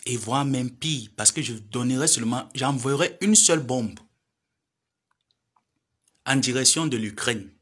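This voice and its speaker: A man's voice: medium pitch, unhurried, pauses often, fairly bright tone, husky. A man, lecturing and pleading in a social media live monologue. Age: 30s. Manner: preachy, lecturing, pleading then scolding.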